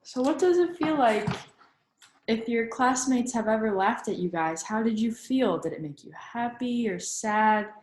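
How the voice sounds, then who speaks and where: A woman's voice: fairly high pitch, lively intonation, a little mellow, roomy sound. A woman reads a children's picture book aloud like a teacher, in an instructive manner that invites young listeners to think along. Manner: instructive, pausing to prompt listeners with questions. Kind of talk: storybook read-aloud with questions to young listeners.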